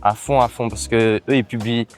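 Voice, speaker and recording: A man's voice: mid-range voice, moderate pace, faint music behind. Teenage boy chatting casually on a walk. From Mauritian heritage, raised in France. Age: teens. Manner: relaxed, rambling, many fillers and restarts. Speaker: interview guest, social media creator. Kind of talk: casual chat while walking.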